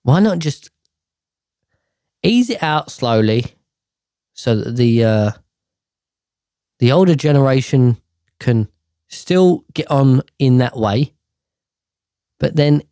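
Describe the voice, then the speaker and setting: A man's voice: medium pitch, warm tone, dry recording, clean studio sound. A man ranting casually to camera, profane and exasperated, rambling with sighs and restarts.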